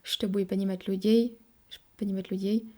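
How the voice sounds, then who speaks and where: A young French woman with a French accent, talking to camera in a learner's halting Russian, pausing often to search for words and correct herself. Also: medium pitch, flat delivery, mellow. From France. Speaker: vlogger. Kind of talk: casual monologue to camera.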